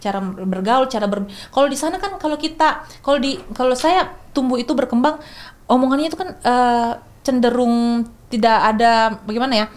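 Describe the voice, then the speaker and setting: A woman's voice: on the high side, moderate pace. Young woman from explaining. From Makassar, Indonesia. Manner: thoughtful, many restarts and fillers. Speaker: guest speaker. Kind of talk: explanatory podcast talk.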